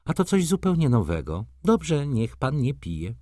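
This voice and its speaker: A man voicing an imperious, flirtatious society lady from a novel, commanding and theatrical, quick to scornful indignation. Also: mid-range voice, very animated, mellow, no echo, clean studio sound, pitched above the performer's own voice. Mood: playful, commanding.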